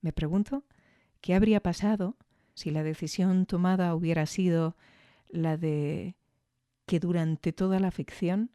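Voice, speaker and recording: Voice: medium pitch, mellow, no echo, clean studio sound. A podcast host giving thoughtful, explanatory commentary on an audio drama. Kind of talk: reflective commentary.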